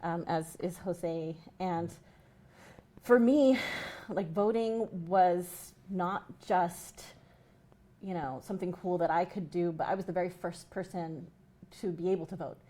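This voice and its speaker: A woman activist, a guest on a talk show, speaking in an animated way with frequent "you know". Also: fairly low pitch, unhurried. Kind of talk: casual chat.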